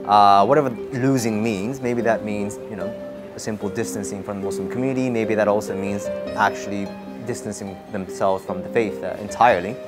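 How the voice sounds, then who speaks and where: A young man from Japan giving thoughtful, measured interview answers, often pausing and restarting. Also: fairly low pitch, fairly even intonation, loud music behind the voice. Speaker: interviewee, religion student.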